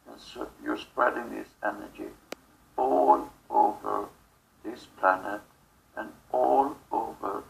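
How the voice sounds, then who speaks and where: A low-voiced man speaks very slowly as a spiritual channeler, with a heavy creak in the voice. His comes out in short, broken phrases with long gaps, over faint background noise. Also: rough recording. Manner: halting, fragmented phrases with long pauses.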